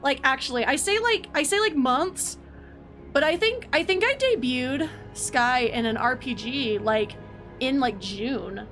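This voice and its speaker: A woman's voice: sits high, animated, measured pace, fairly bright tone, faint music behind. A woman streamer chatting casually, rambling, with lots of fillers and restarts. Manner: rambling, filler-heavy, self-correcting. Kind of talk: casual livestream chat.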